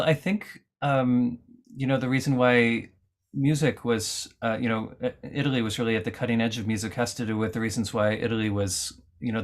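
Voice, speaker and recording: A man talking as a guest musician in an interview, thoughtful and explaining at length with frequent fillers and restarts. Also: medium pitch. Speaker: lutenist guest. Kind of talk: conversational interview answers.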